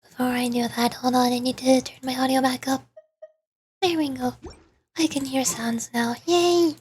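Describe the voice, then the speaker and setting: A woman VTuber chatting casually on a gaming livestream, softly and often in a murmur. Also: sits high, steady tone, moderate pace, a little hiss or hum. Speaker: VTuber game streamer.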